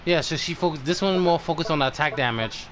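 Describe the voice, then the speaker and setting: A man streaming a game, giving casual live commentary in a halting, muttering way as he thinks aloud. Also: fairly high pitch, a bit bright, slight background noise. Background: game music.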